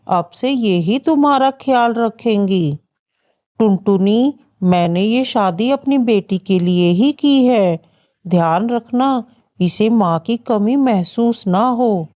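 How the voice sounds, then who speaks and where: Woman as a slow, worried father bird. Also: medium pitch, lively intonation, mellow, highs cut off. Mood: tender, concerned. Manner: earnest, pleading. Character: worried widowed father bird, tender and earnest. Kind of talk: children's cartoon story acting.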